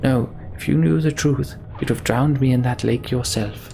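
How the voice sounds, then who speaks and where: A man's voice: medium pitch, normal speed, vocal fry, dark tone, a little hiss or hum, voice pushed up, lightly acted. A man voicing a weary, bitter fantasy game mage who speaks in a reproachful, pleading way. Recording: game music behind the voice.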